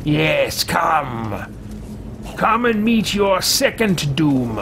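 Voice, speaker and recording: A man's voice: a little high, very animated, drawn-out words, creaky, a bit bright, a little hiss or hum, exaggerated voice. A man in his thirties voicing a haughty, greedy male mage adventurer with pompous, theatrical disdain in a video game roleplay. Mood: bored, imperious. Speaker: let's-play host roleplaying a game character. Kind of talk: theatrical in-character game commentary.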